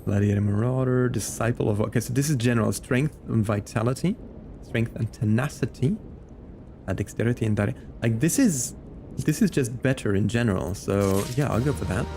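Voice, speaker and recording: A man's voice: mid-range voice, normal speed, mellow, slight background noise. A man streaming a game with casual, muttered commentary and reactions.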